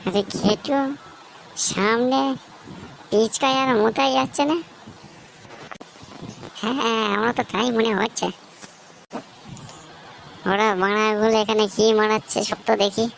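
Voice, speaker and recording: A high-voiced, foul-mouthed cartoon boy performed by a woman speaks slangy West Bengal Bengali. He is cheeky and coarse, and a little noise sits behind the voice. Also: steady tone, measured pace, vocal fry, long pauses, poor audio. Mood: mischievous. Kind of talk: comedy cartoon dialogue.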